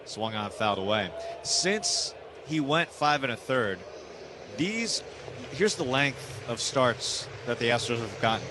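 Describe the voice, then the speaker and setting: A male radio announcer calls a baseball game live from a ballpark booth. His voice sits in a middle pitch, with clear outdoor background noise behind the commentary. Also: very animated, measured pace.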